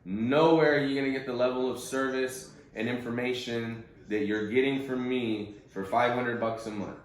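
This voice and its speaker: A man's voice: medium pitch, fairly even intonation, moderate pace. A man with a mid-range voice speaks in a strongly reverberant room, as on a group call. He coaches informally, in a blunt, insistent way. He leans on repetition to drive his points home. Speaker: day-trading coach. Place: conference call recording in echoey room.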